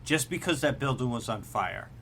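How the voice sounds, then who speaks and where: A man's voice: medium pitch, lively intonation, moderate pace, a bit bright, a little hiss or hum. A man co-hosting a podcast, chatting casually in a laid-back way with swearing. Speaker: podcast co-host. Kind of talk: casual chat about manga.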